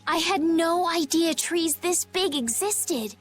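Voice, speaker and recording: A woman voicing a gentle, polite and earnest young woman in a video game. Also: extremely high pitch, takes it slowly. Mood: wonder. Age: young adult. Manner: polite, careful, softly earnest. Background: game music.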